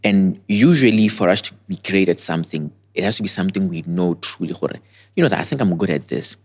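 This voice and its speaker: A man's voice: low voice, expressive, fast. A man hosting a podcast interview, asking casual questions and affirming his guest's answers. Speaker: podcast host.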